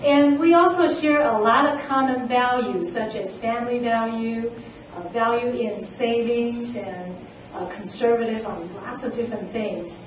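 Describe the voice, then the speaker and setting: A woman's voice: fairly high pitch, measured pace, few pauses, a little hiss or hum. Woman presenting in an echoey hall. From immigrant. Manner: earnest, with many fillers. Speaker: conference presenter. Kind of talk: conference talk. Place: conference room. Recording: echoey room, mic from far off.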